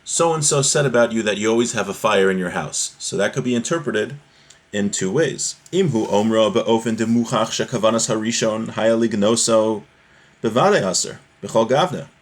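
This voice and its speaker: A man's voice: fairly low pitch, moderate pace. A man giving an informal religious lesson, reading from a source text and explaining it conversationally with everyday examples. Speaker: religious teacher giving a class.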